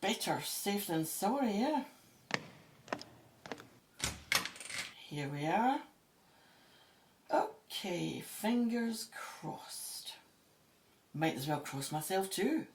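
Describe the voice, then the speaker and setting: Woman acting a wry Egyptologist, lively and unhurried. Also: medium pitch, noisy background. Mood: wry, apprehensive. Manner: wry, matter-of-fact. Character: Egyptologist woman, brisk, wry, sceptical but game. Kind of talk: audio drama acting.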